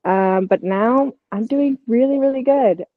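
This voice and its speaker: A woman's voice: a little high, unhurried, no room sound. Candid young woman on dull lo-fi audio. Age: 20s. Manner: candid, storytelling. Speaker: podcast co-host. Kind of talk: casual chat.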